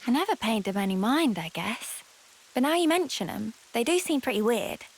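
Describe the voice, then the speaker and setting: A high-voiced, plain-spoken young fighter girl. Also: very animated, normal speed, husky, full character voice. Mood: casual, curious. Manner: casual, blunt, colloquial. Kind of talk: scripted video game cutscene dialogue.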